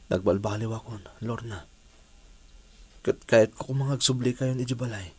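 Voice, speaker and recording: A man with an Ilocano accent playing an earnest, repentant family man who pleads gently in a radio drama. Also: medium pitch, normal speed, husky, lightly acted. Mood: pleading. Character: repentant, earnest adult man wooing his estranged family. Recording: dry studio sound, music behind.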